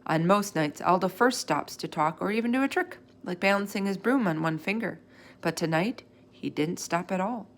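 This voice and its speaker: A woman narrates a children's book aloud. Her delivery is expressive and swings widely in pitch. There is a noticeable creak in her voice as she moves through the story. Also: normal speed. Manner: animated, acts out dialogue. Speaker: storybook reader.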